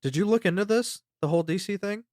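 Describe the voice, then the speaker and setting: A man's voice: sits high, fast, darkish tone, no echo, clean studio sound. A man co-hosting a podcast, explaining his opinions casually, with hedges and some swearing. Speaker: podcast co-host. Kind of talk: casual discussion of a web series.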